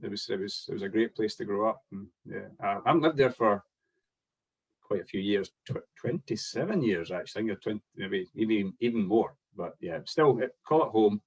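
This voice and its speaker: Scottish guy in his 40s, low husky voice, chatty, laughs a lot, stumbles into sentences. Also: animated, a little fast, low-quality recording. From Scotland. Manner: chatty, laughs often, repeats words as he starts sentences. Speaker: golf club head of operations, guest. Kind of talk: casual podcast chat.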